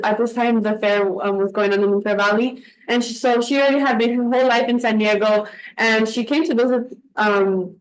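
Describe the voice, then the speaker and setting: A woman's voice: fairly high pitch, low-quality recording. Bilingual young woman with a Spanish accent. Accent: Mexican Spanish. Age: young adult. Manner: reflective, speaks in long winding stories with restarts. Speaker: podcast co-host. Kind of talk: casual conversation.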